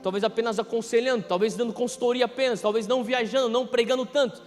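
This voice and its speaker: A Brazilian man in his thirties preaching a sermon, emphatic, repeating key phrases and swinging between hushed and loud delivery. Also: very high-pitched, steady tone, fast talker. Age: 30s. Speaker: pastor preaching. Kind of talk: impassioned sermon. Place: large reverberant church hall. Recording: reverberant hall PA, music underneath. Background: music.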